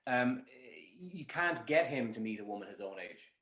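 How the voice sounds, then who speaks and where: A man's voice: medium pitch, animated, normal speed, a bit bright. An Irish man speaking bluntly on a podcast, with a mid-range voice. He rambles on, restarting his sentences, and the room has a noticeable echo. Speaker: matchmaker guest. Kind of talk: casual podcast chat.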